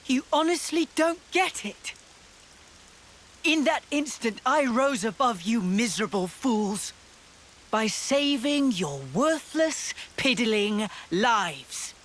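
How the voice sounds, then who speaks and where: Young male game villain: high, slow, theatrical taunting, gloating and mocking. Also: fairly bright tone, a little hiss or hum, full character voice. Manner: theatrical, sneering, drawn-out words. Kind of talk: video game cutscene acting.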